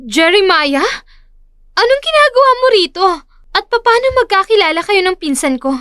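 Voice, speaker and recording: Babaeng gumaganap na matinis na mapagmataas na dalagang negosyante. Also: female voice, very high voice, big pitch swings, normal speed, a bit bright, dry recording, studio quality, full character voice. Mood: shocked. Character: proud young businesswoman, dismayed and pleading cousin. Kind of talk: audio drama acting.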